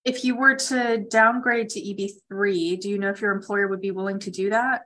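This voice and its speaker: Woman lawyer on a conference call, mid pitch, explaining stuff straight to callers, conversational. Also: steady tone, brisk, sharp tone. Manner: direct, explanatory. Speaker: immigration attorney hosting a call-in session. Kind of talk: conversational legal advice to callers.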